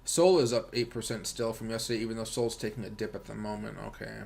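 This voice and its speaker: A man's voice: on the low side, flat delivery, fast talker, vocal fry, darkish tone. An American man hosting a livestream with casual, rambling chat and commentary, speaking informally and directly to his viewers. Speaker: livestream host and crypto trader.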